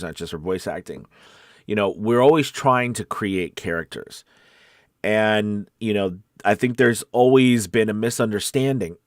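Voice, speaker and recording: Man, lowish voice, dry close sound, animated, emphatic, conversational, livestream coach and host. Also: dry recording. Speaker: host of a voice-acting coaching show. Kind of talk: casual livestream coaching talk.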